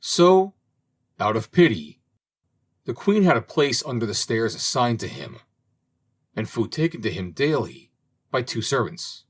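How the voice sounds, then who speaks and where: A man reading an old fairy tale aloud as an audiobook narrator, in a slow, expressive manner. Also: medium pitch, slightly rough. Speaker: audiobook reader. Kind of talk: storybook narration.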